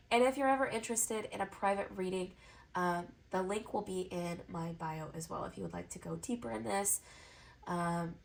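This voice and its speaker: A woman reads tarot for her viewers with a stuffy, congested nose. Her pitch sits in a mid range, and she speaks in a soft, reassuring way, stacking repeated phrases into long flowing lists as she delivers her reading straight to camera. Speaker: tarot reader.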